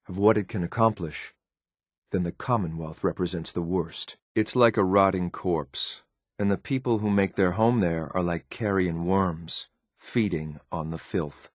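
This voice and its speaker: An actor playing a loyal, coldly disdainful synth soldier in a video game, speaking in a clipped, military, deferential but blunt way. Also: male voice, low-pitched, no echo, clean studio sound. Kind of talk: video game companion voice lines.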